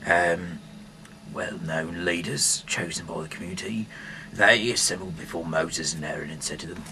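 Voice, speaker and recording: A man reads aloud informally, rambling in a halting, bumbling, irreverent way, in an amateur home recording. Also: deep voice, steady tone, pushed and bright, slight background noise. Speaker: amateur reader of scripture, self-styled rock singer.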